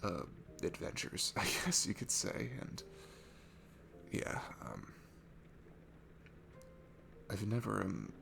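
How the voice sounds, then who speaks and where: Shy, sweet guy alone at a bar, played by a man for ASMR audio: heavy vocal fry, lively shifting pitch, hesitant, stammering and self-effacing. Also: slow, long pauses, heavily acted. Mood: shy, sheepish. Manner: hesitant, stammering, self-deprecating, breaking into hushed breathy asides. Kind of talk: intimate ASMR roleplay audio acting. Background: music.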